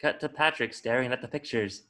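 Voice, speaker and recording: A man's voice: medium pitch, fairly quick, sharp tone. A man reading scripted stage directions as the narrator of a table read.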